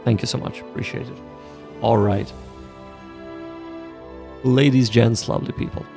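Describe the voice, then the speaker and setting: A man with a gravelly, creaky edge to his voice hosts a casual study livestream. He talks straight to his viewers in a medium pitch, pausing and circling back over phrases as he explains. Soft music plays faintly behind him. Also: fairly even intonation. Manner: halting, repeats himself, addresses viewers directly. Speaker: livestream host of a Japanese study session.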